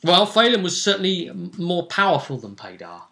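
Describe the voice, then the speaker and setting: Bright-voiced man recapping a tabletop campaign. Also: high voice, big pitch swings, fairly quick. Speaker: game master and vidcast host. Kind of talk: casual recap of a tabletop role-playing campaign.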